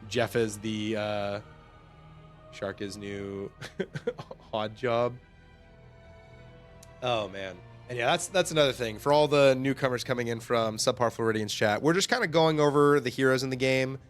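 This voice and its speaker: A young man hosting a casual livestream, rambling and laughing often, his voice getting hoarse from talking. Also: mid-range voice, measured pace, long pauses, soft background music. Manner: chatty, rambling, laughs often, lots of fillers. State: losing his voice, throat-clearing. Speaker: livestream host. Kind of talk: casual game overview chat.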